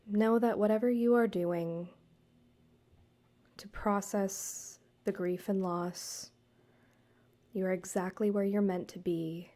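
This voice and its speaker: A woman guiding a meditation, speaking to the listener in a soothing, measured way with deliberate pauses. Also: medium pitch, steady tone, dark tone. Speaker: guided meditation host, counselor and yoga teacher. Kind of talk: gentle guided meditation narration, addressed to the listener.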